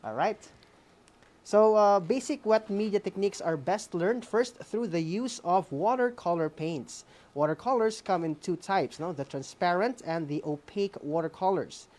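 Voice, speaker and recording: A woman's voice: fairly low pitch, normal speed, darkish tone. A woman with a Visayan Filipino accent hosting an on-air lesson, moving between reading and informal asides, often adding "no?" as a tag. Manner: code-switching, frequent "no?" tags. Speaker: host of a radio art class.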